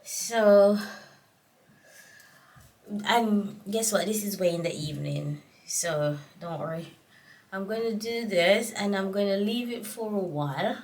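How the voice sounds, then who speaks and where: A middle-aged woman with a Jamaican accent, vlogging casually while congested from a cold, talking in a slow, tired, rambling way. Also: medium pitch, darkish tone, distant mic. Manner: slow, tired, rambling talk with many fillers. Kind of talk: casual vlog chat.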